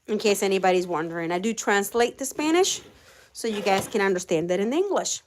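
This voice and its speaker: A woman's voice: mid-range voice, lively intonation, fairly quick, a little hiss or hum. A woman from Puerto Rico chatting with her live viewers while doing chores outdoors with her animals. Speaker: livestream host.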